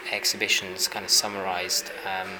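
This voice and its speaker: A man from Hungary speaks in a low, flat voice and talks about his work, pausing often and restarting his sentences. Steady noise from the gallery space stays under him throughout. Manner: thoughtful, halting, with many fillers and restarts. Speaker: artist. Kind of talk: exhibition interview. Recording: noisy ambience at an exhibition.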